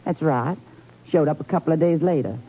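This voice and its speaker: An actress playing an indignant, sassy Southern belle in a 1950s radio drama, with a drawling, folksy Southern accent. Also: female voice, low voice, fairly quick, warm tone, slightly rough. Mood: accusing. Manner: drawling, folksy, honeyed but accusing. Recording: muffled 1950s radio recording.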